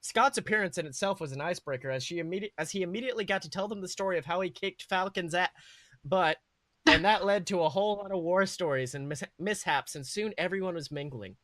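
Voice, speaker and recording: Bright-voiced man reading a story aloud. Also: high-pitched, fast talker, dry recording. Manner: animated reading with stumbles. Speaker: podcast host reading fan fiction. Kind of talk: story narration read aloud.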